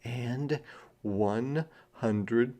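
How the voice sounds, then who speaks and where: A man reading a children's story aloud, with dramatic pauses and drawn-out key words. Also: mid-range voice, dark tone. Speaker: storybook reader. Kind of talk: children's read-aloud narration.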